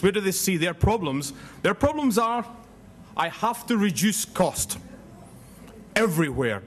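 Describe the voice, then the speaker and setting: A Scottish man giving a seminar talk, loud and jokey, putting questions to the audience. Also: fairly high pitch. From Scotland. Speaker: conference speaker. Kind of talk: seminar presentation.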